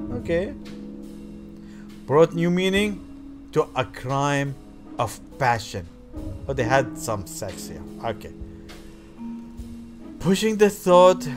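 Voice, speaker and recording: A man hosting a gaming video reads visual novel text aloud with theatrical emphasis, stumbling now and then and dropping in offhand comments. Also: a little high. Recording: music behind the voice. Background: game music.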